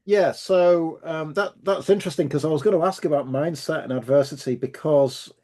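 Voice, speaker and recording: A man's voice: fairly high pitch, normal speed, dark tone. A man hosting an interview podcast, friendly and casual, often restarting his sentences. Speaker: podcast host.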